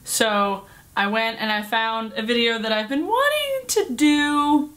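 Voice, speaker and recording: A woman's voice: fairly high pitch, lively intonation, unhurried, fairly bright tone, faint music behind. A young woman from the Seattle area, chatting casually to camera in a rambling way with fillers. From Pacific Northwest, Seattle area. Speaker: vlogger. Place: small cluttered bedroom, indoors. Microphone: camera at close range.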